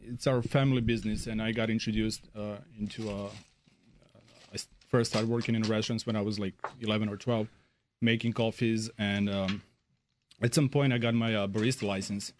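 Halting Serbian-born man guest. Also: fairly low pitch, fairly even intonation, slight background noise. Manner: halting, many fillers, non-native phrasing. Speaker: beverage director guest. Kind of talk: radio interview answers.

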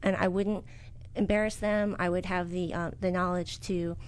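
A middle-aged woman from the United States, a business owner answering interview questions in a soft, hesitant way with many fillers. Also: lowish voice, little pitch movement, moderate pace, a little hiss or hum.

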